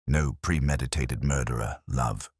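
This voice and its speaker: Man reading as grave, gentle inspector, extremely deep. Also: normal speed, husky, dry recording, studio quality. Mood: gentle, reflective. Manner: gentle, quietly firm, formal. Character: calm, grave, measured male police inspector. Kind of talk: dramatised detective story dialogue.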